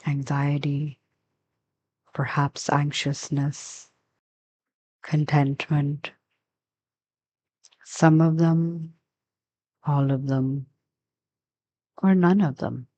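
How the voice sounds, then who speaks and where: A woman leading a guided meditation as a teacher, giving gentle, unhurried instructions with long pauses. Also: low voice, steady tone.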